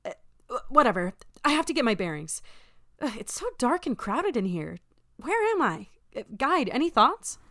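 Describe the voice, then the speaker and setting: A woman acting an excitable, exasperated agent in an audio drama, flustered and sarcastic. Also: fairly high pitch, moderate pace, no echo, studio quality.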